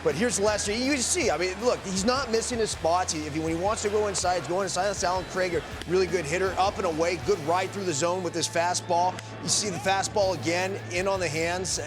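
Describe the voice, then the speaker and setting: An American man talks sport as a studio analyst, at a quick pace and a slightly raised pitch. He is conversational and emphatic, with a music bed clearly audible. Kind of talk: unscripted panel commentary.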